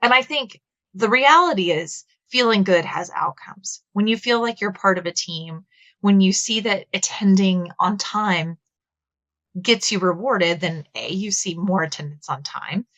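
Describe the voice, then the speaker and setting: A woman's voice: mid-range voice, a little slow, a bit bright, no echo, lo-fi sound. A woman in her thirties with a Texas accent, a podcast guest explaining her views thoughtfully with some filler words. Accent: Texan. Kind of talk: conversational interview.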